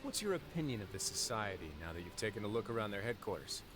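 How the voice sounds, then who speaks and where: Low-voiced, composed, shrewd nobleman. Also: very animated. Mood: composed, pensive. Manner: measured, deliberate, formal wording. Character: composed, shrewd nobleman in authority, quietly calculating. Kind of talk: video game voice acting.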